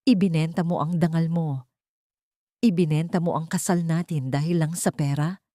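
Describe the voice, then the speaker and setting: Female narrator as a betrayed wife in audio fiction: low, wounded, bitterly accusing. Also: fairly even intonation, normal speed, warm tone, no echo, clean studio sound, natural voice. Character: betrayed, bitter wife confronting her husband. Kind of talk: dramatic audio story dialogue.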